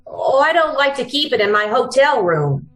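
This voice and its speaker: Woman playing a high, sugary radio femme fatale. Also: very animated, soft background music, low-quality recording. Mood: coaxing, sweet. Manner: cooing, drawn-out, sugary. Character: gushing, coaxing, flirtatious female crook's sweetheart. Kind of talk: old-time radio drama acting.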